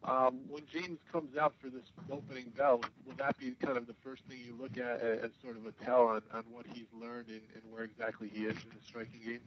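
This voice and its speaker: A man's voice: medium pitch, flat delivery, fairly quick, hardly any pauses. A man working as a sports reporter, politely and a little hesitantly asking questions on a press call. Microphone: telephone. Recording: dull conference call, faint noise.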